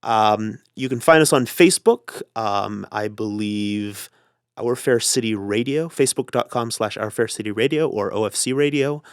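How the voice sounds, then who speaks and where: A man speaking as a podcast guest, giving casual, somewhat halting announcements and chat. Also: on the low side, clear, resonant tone, no echo, studio quality. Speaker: interview guest.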